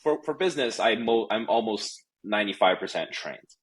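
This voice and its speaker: A man's voice: on the low side, lively intonation, normal speed, breathy edge. A man from Canada, probably in his thirties, speaking casually as an interview guest with frequent fillers and restarts. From Canada (Calgary). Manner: hesitant, many fillers and restarts. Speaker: guest, company executive. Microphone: remote recording.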